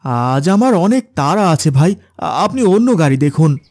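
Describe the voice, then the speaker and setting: A man's voice: on the high side, sing-song, moderate pace, darkish tone, slight background noise. A man playing an honest village van driver and worried father, polite and folksy, in a horror cartoon. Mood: reluctant, polite. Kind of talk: Bengali horror cartoon dialogue.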